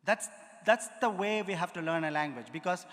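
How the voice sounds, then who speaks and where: A man with an Indian accent lectures an audience, his voice sitting high for a man. He demonstrates rhythm syllables aloud and keeps asking the listeners questions. His words ring with the long reverb of a big hall. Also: a little fast, fairly bright tone. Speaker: music lecturer. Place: large auditorium.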